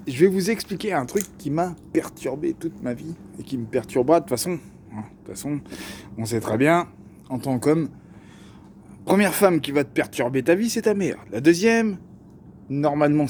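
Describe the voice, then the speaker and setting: A young man with a Parisian accent, talking casually to camera in a slangy, joking way with plenty of fillers. His voice is dark and mellow, with a faint hum of room noise behind him. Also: a little high, animated, moderate pace. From Paris region. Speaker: vlogger.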